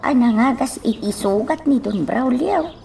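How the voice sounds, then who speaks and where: A woman's voice: fairly high pitch, animated, measured pace, vocal fry, dark tone, far from the mic, slight background noise. A woman with an Ilocano accent acting a deferential, concerned helper in a radio drama.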